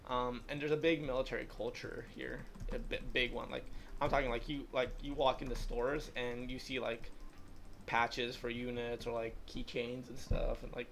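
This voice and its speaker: A man's voice: mid-range voice, flat delivery, moderate pace, vocal fry, fairly bright tone, slight background noise. An eighteen-year-old man hosting a livestream, chatting casually and rambling, with plenty of "like" fillers. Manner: rambling, heavy use of "like" fillers. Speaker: livestream host. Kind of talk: casual chat while gaming.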